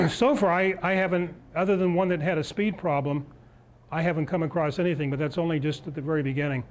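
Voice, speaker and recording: A man in his sixties with an American accent, giving an informal, halting demonstration talk and thinking aloud as he presents in a meeting room. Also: fairly high pitch, steady tone. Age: 60s.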